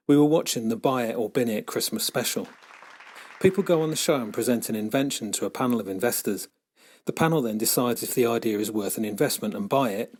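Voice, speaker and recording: A man reading a children's story as scripted narration, expressive and dramatic in his delivery. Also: medium pitch, husky. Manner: expressive, dramatic character shifts. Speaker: author reading own book.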